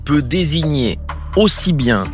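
A man's voice: on the high side, lively intonation, faint music behind. Teasing quiz show host, man. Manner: teasing, drawn-out suspense. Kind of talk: radio game show hosting.

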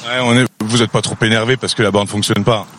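A man reporting live and informally as a roving radio reporter, halting and repeating words as he goes. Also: lowish voice, fairly even intonation, fairly bright tone, a little hiss or hum.

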